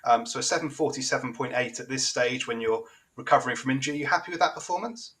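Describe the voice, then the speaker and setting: A man's voice: mid-range voice, quick pace, fairly bright tone. A man interviewing an athlete after a race, upbeat and encouraging.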